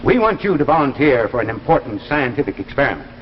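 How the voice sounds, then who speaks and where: An actor playing an assured, mysterious government scientist, speaking formally and with measured authority in 1950s sci-fi drama style. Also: male voice, medium pitch, sing-song, close to an everyday voice. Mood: assured, persuasive. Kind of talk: 1950s science fiction anthology acting. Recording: muffled, noisy, reverberant 1950s broadcast.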